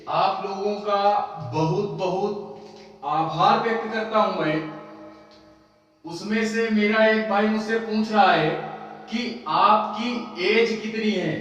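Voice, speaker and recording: A man's voice: sits high, fairly bright tone. Young man teaching in an echoey hall. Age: 20s. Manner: chatty, repeats questions and answers them himself. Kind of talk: casual coaching lecture. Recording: echoey far-off room with faint music.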